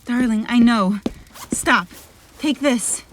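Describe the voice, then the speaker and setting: A woman's voice: fairly high pitch, slow, noisy background. A woman playing an anguished, fragile mother in a fantasy audio drama, fraught and emotional. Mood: urgent.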